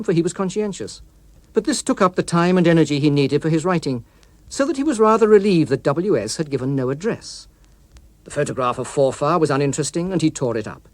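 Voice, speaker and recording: A man narrating an audiobook story in a precise, expressive, literary manner, recorded in a studio. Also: on the high side, no room sound. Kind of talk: scripted dramatic story narration.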